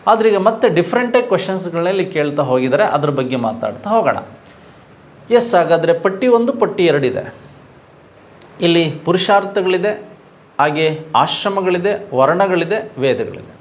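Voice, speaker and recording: A young man leads an exam-prep lesson, his voice sitting slightly high for a man. He reads each question, asks aloud how to guess it, and then answers himself. He repeats the key answers so they stick. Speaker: exam coaching teacher.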